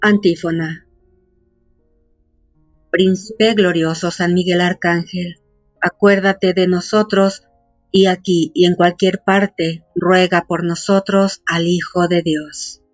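Measured devotional prayer reader, unhurried, dry close sound, faint music bed. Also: mid-range voice, little pitch movement, no echo. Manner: solemn, measured reading. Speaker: prayer leader. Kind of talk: devotional novena recitation.

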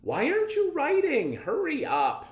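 A man's voice: very high-pitched, huge pitch swings, measured pace, echoey room, voice pushed up, full character voice. Man as a bossy, swooping comic-book police captain. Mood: impatient. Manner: commanding, impatient.

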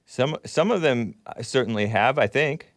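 A man's voice: mid-range voice, lively intonation, a little slow, dry recording. A man in his thirties hosting a call-in show, chatting casually into a close studio microphone. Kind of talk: casual talk-radio chat. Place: small studio. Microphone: broadcast microphone on boom arm, close.

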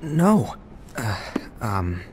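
An actor playing an earnest, uncertain apprentice in a game cutscene, hesitant and questioning. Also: male voice, fairly low pitch, sing-song, very slow, a little mellow, slight background noise, exaggerated voice. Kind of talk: animated game cutscene dialogue.

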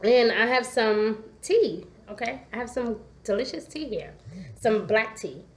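A congested, hoarse woman in her forties, telling her story in a chatty way to a laptop webcam at home. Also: fairly high pitch, unhurried. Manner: chatty, animated, gestures a lot, asks "okay?" often. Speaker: vlogger. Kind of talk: casual personal storytelling to camera. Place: living room at home.

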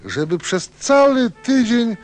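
A man's voice: extremely high pitch, very animated, slow, soft background music, exaggerated voice. A man playing an eager merchant in an audio play, with theatrical, sing-song sales patter. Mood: eager, salesman-like.

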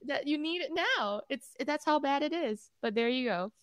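A woman's voice: sits high, expressive, moderate pace, no echo, clean studio sound. A young woman co-hosting a podcast, chatting casually about tech news in a bubbly way, speaking into a mic on a video call from home. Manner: chatty, bubbly, many fillers. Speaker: podcast co-host. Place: home room, indoors. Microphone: studio mic on a video call.

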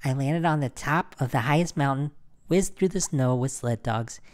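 A woman's voice: extremely low pitch, normal speed, voice pushed down. A woman voicing an emphatic little boy in a children's storybook. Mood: breathless excitement. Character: anxious little boy turned excited adventurer.

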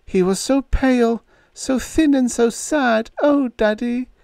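A woman's voice: a little high, slow pace, creaky, mellow, no room sound, studio quality, pitched above the performer's own voice. A man voicing a heartbroken young woman who speaks in an intense, eager, pleading way.

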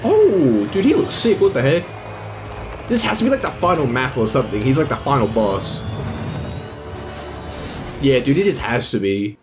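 A man's voice: mid-range voice, very animated, normal speed, pauses often, over music. A man in his twenties giving enthusiastic, slangy reaction commentary from a room at home. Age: 20s. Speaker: reaction video creator.

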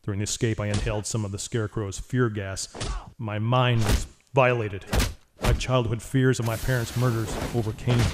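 An actor playing a grim, stern vigilante detective in a noir radio drama, terse and brooding. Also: male voice, on the low side, steady tone, normal speed, heavy background noise, lightly acted.

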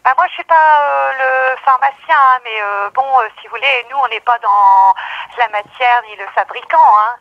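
A woman's voice: on the high side, moderate pace, dry recording. A woman working at a pharmacy, talking with a customer over the telephone on a muffled line. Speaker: pharmacy staff member. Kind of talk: customer phone conversation.

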